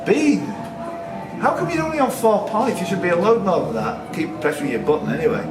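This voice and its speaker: Animated male quizmaster in an echoey room. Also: high-pitched, a little fast, distant mic. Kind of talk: reading quiz questions with casual banter. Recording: echoey room, off-mic, music behind.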